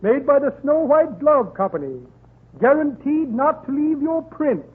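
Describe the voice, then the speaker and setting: Old-time radio comedy rogue: very high, animated voice, shifty chummy patter, muttered asides, thin vintage broadcast sound. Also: male voice, a little slow. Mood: sly, chummy. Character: fast-talking shifty crook, chummy comic rogue rummaging his pockets. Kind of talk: 1930s radio comedy acting. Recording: scratchy, tinny 1930s radio broadcast.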